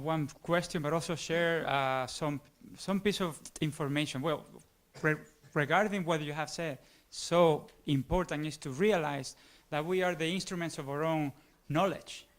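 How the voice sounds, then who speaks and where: A man in the audience slowly builds a long question. His phrasing is formal, and he pauses and restarts as he hunts for words. His voice is slightly higher than most men's. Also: steady tone. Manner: formal, halting, searching for words. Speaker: audience member asking a question.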